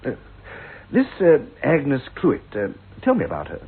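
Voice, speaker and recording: Stubborn husband on tinny old radio. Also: male voice, medium pitch, wildly swooping pitch, normal speed, vocal fry, husky, heavily acted. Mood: indignant, probing. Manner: brusque, dismissive, exasperated. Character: stubborn sceptical husband, a writer of ghost stories. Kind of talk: radio drama acting. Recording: lo-fi phone-like old radio, hissy.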